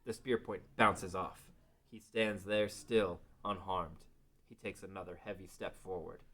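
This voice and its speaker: A man's voice: low-pitched, steady tone, unhurried, a bit bright. A man narrating a scripted fantasy story as the narrator of a table read.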